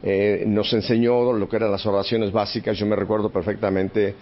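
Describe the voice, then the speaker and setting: A man in his seventies from Mexico City, a podcast guest telling stories about his childhood in a relaxed, chatty way with easy laughter. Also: lowish voice, normal speed. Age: 70s. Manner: chatty, warm reminiscing with frequent laughs. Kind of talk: relaxed storytelling in conversation. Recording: slightly muffled podcast audio.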